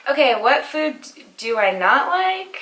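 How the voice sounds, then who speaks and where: Young american woman rambling on her vlog, bright voice, echoey room. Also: high-pitched, sing-song, a little slow. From United States. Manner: chatty, rambling, laughs often. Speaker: vlogger. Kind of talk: casual chat with her husband.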